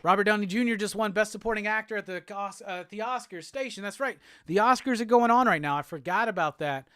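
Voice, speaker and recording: High-voiced Southern man chatting. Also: quick pace, clear, resonant tone, no room sound, studio quality. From Alabama, US South. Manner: relaxed, rambling, answers chat comments with fillers. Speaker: host. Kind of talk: casual live-stream chat.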